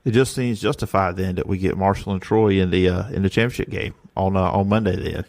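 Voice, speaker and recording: A man's voice: low voice, fairly even intonation, brisk, creaky, darkish tone. A male sports show host who asks his guest questions in an easygoing, conversational way. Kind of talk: casual sports talk interview.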